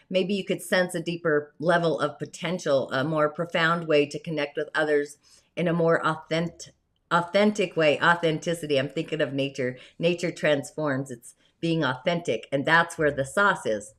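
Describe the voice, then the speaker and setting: A woman with a raspy voice today hosting a spiritual livestream in a dreamy, meandering way, trailing off softly at the ends of phrases. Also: fairly low pitch. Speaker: host.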